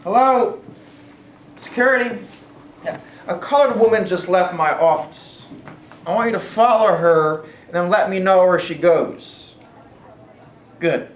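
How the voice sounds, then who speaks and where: A man's voice: high voice, measured pace, pauses often. A man playing a curt, irritable company lawyer in a staged play reading. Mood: curt, commanding. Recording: echoey room, distant mic, noisy.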